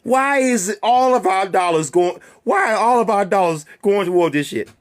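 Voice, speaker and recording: A man's voice: sits high, animated, moderate pace. A man hosting his own channel, delivering a heated, profane rant to viewers with a string of rhetorical questions and repeated phrases. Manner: heated and emphatic. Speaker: channel host. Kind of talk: ranting monologue to viewers.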